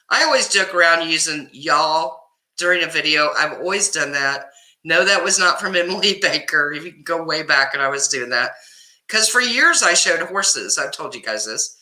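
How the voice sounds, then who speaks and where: A talkative woman with a Kansas accent hosts a live chat, greeting viewers by name and laughing easily. Her voice is very bright and rises and falls a lot. The room around her rings with echo. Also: on the low side. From Kansas, US Midwest. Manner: chatty, laughs often, answers viewers by name. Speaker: livestream host reading chat.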